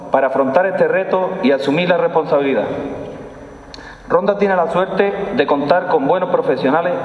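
A man in his forties delivers a formal speech with an Andalusian accent and emphatic repetition. His voice is slightly high for a man. The sound is a little dull, with strong echo from a large hall. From Andalusia, Spain. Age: 40s. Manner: emphatic, repeats key phrases. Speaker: police chief giving an inaugural speech. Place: large stone hall, indoors. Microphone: lectern microphone.

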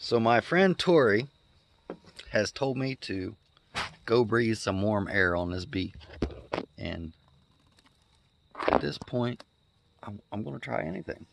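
A man's voice: lowish voice, lively intonation, slow pace, vocal fry, pauses often, background noise. A middle-aged man filming himself in his backyard garden, talking casually and sounding amazed as he thinks aloud. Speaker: homesteader vlogger. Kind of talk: casual handheld vlog. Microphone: phone camera held close.

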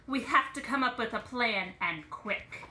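Lady putting on a nervous girl pretzel from a kids book, high and bright, fretting, echoey room. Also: animated, a little slow, a little hiss or hum. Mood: frightened. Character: nervous, easily frightened female pretzel stick. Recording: roomy home phone recording.

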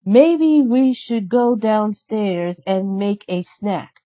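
A woman's voice: mid-range voice, expressive, drawn-out words. A woman voicing a feisty, grumbling little fairy with an emphatic manner in a children's storybook. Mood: eager. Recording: slightly dull, lo-fi sound.

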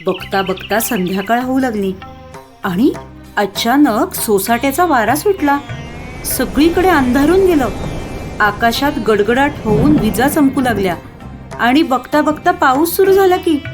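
Female storyteller for children's animated tales, fairly high voice, expressive narration over light music. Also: a little slow.

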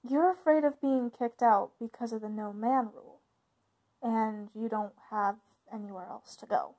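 A woman's voice: on the high side, normal speed, mellow. A woman acting as a caring, informal big sister and young witch, hesitant and reassuring, in a conversational ASMR roleplay.

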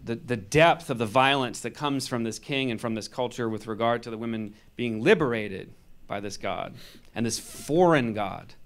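A man comments analytically in a discussion. His voice is mid-pitched, clear and resonant. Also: moderate pace. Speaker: discussion participant.

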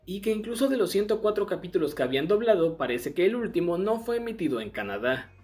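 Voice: medium pitch, very animated, quick pace, darkish tone. A video essay narrator with a Latin American Spanish accent, reading a scripted piece close to the mic. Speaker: narrator of an anime dubbing history video. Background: background music.

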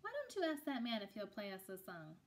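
A woman voicing a wise, upbeat grandmother in a storybook, folksy and gently chiding. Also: medium pitch, huge pitch swings, a little fast. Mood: firm, encouraging.

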